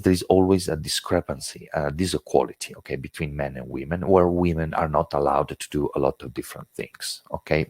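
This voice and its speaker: A man's voice: rumbling low, vocal fry, breathy edge, no room sound. A man with an Italian accent giving an online lecture as a webinar presenter, talking with many fillers and restarts. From Italy.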